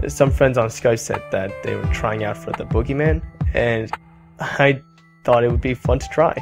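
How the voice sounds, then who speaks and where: Guy voice actor thanking players, flat shy delivery, music playing behind. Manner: flat, low-key, shy. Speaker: voice actor giving a closing message. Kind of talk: read-out thank-you message.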